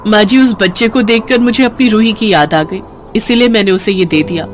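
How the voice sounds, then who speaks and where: A woman playing a poor, kind but harried young village mother in a storybook tale, earnest and expressive. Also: medium pitch, fairly quick, soft background music, pitched above the performer's own voice, close to an everyday voice. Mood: tender.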